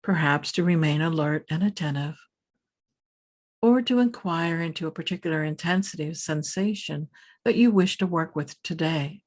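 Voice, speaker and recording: A woman meditation teacher leading a scripted guided meditation in a calm, soothing, measured manner with pauses between phrases. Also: deep voice.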